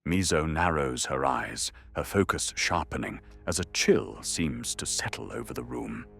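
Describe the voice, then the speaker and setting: Male narrator for anime audio drama. Deep, creaky, husky voice, dramatic scripted delivery with lively intonation. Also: measured pace, faint music behind.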